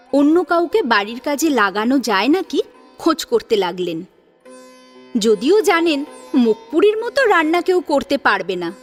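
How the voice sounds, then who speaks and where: A woman narrating a story in a dramatic audiobook style. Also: high-pitched. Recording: sitar music behind the voice. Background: plucked sitar music.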